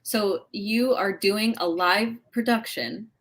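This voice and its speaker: A woman with a medium-pitched voice hosts a live interview. She asks questions in a casual, chatty style and reacts with informal animation. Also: slow, a bit bright. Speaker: live show host.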